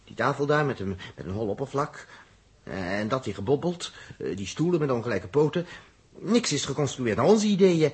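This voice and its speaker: A grumbling, animated space traveller, slightly husky. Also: male voice, medium pitch, normal speed, heavily acted. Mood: baffled. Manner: hesitant, exclamatory, thinking aloud. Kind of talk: 1960s Dutch radio drama acting.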